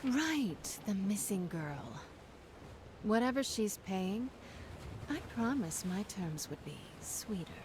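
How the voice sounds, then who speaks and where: A woman's voice: mid-range voice, sing-song, slow. An actress playing a confident, teasing female pirate captain in a video game. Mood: sly, cool. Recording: noisy ambient game soundscape behind.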